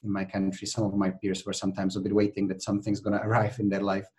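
Low-voiced Czech-accented man. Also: fast. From Czech Republic. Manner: animated, many fillers and restarts. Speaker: podcast guest. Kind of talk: conversational interview.

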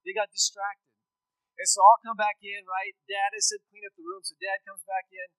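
Bright-voiced man giving a casual sermon. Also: high voice, little pitch movement, moderate pace, no room sound, low-quality recording. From rural Texas. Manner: conversational, storytelling, asks the audience questions. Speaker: missionary speaker. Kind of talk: casual sermon to a young audience.

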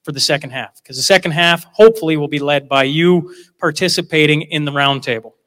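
A man moderating a live panel in a large hall, talking in a loose, chatty way with asides and jokes. His voice sits a bit high for a man, and it carries with a long reverb tail through the room. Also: fairly quick, fairly bright tone. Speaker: event moderator.

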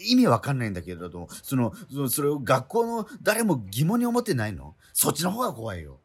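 A man's voice: mid-range voice, huge pitch swings, husky, full character voice. An actor playing an exasperated schoolboy straight man in a comedic radio drama, quibbling and retorting in disbelief. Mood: baffled, exasperated. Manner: incredulous, quibbling, rapid retorts.

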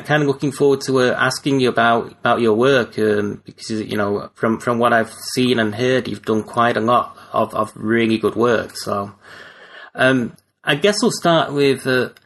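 A man hosting a casual interview show, talking haltingly and often repeating his words as he goes. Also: medium pitch, a bit bright. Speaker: podcast host and interviewer.

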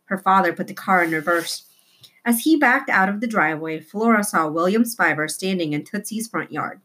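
A woman with a somewhat low voice reads a children's novel aloud. Her delivery is expressive storybook narration. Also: moderate pace, poor audio.

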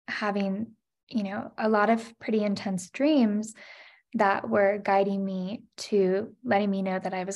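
A woman's voice: medium pitch, darkish tone. A woman in her thirties, a podcast guest, sharing a personal story in an earnest, reflective way, with many "you know" and "like" fillers.

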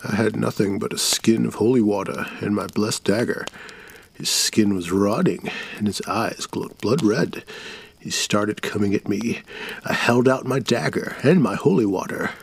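A man's voice: on the low side, unhurried, gravelly, husky, exaggerated voice. A man doing a boastful old campfire storyteller. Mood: spooky. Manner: theatrical, spooky tale-telling.

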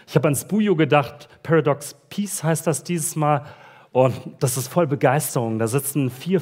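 A man preaching a church sermon in a relaxed, conversational way with casual slang, reading Bible verses in between. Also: a little high. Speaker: pastor. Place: church service.

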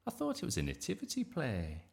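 A man's voice: medium pitch, huge pitch swings, fairly quick, vocal fry, pitched above the performer's own voice. A man voicing a weary, long-suffering mother in a children's audiobook, reasoning with exasperation. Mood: exasperated.

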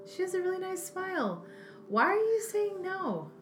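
A woman's voice: very high-pitched, big pitch swings, normal speed, faint music behind. A woman from Idaho, the host's friend and co-host, joking and teasing in a playful way. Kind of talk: casual teasing chat.

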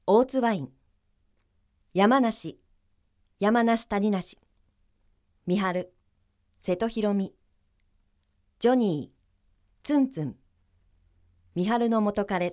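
Very slow-paced female announcer. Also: medium pitch, darkish tone. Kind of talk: scripted cast announcement.

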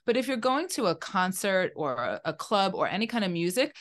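A woman with a naturally loud voice, a guest talking emphatically and effusively in a casual podcast chat. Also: medium pitch, very animated, brisk. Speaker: guest, physical therapist.

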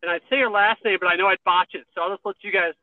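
A man's voice: high-pitched, steady tone, very fast, dry recording, phone-quality sound. A man hosting a radio interview show, friendly and self-deprecating with his guests. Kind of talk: interview host.

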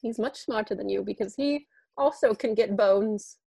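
A woman's voice: mid-range voice, normal speed, creaky, dark tone, slightly rough. A woman playing a smug witch mom. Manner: smug, teasing. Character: scheming witch mother, middle-aged woman. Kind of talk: improvised audio comedy.

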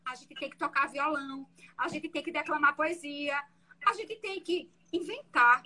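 A woman defense lawyer with a soft voice, explaining her courtroom methods in an interview. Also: high-pitched, rough recording.

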